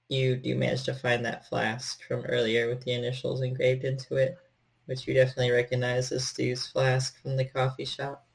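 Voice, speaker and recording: A man with a gravelly, husky voice acts as game master on an online call. He talks casually and slips into improvised scene narration. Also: mid-range voice, low-quality recording. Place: online group video call.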